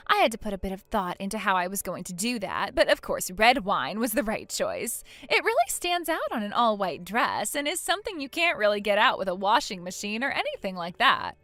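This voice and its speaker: A woman's voice: mid-range voice, a bit bright, dry recording. A woman voicing a gloating, spiteful young woman in a story drama, with a smug, taunting, condescending manner. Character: gloating, spiteful wedding-wrecking young woman, protective sister. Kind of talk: scripted melodrama story dub.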